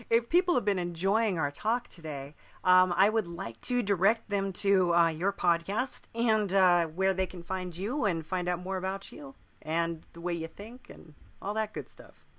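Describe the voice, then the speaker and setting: A man hosting a casual conversational interview, agreeable and halting, often repeating his phrases. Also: high voice, sharp tone. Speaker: podcast host, interviewer.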